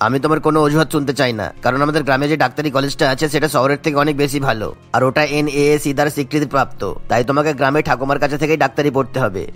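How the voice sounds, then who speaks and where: A man's voice: on the high side, steady tone, very fast, few pauses, a bit bright, faint music behind, lightly acted. An actor playing a firm middle-aged father in a cartoon story, insistent and given to lecturing. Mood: stern. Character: firm middle-aged father, village-born doctor, fond of home. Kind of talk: cartoon character acting.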